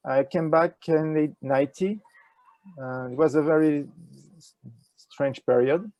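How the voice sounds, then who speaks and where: An older man with a French accent, answering interview questions in a halting way with many fillers, speaking over a video call with a wired earphone mic. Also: fairly high pitch, darkish tone, dry recording, lo-fi sound. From France. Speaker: ecology professor, interview guest. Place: indoors with a virtual nature backdrop.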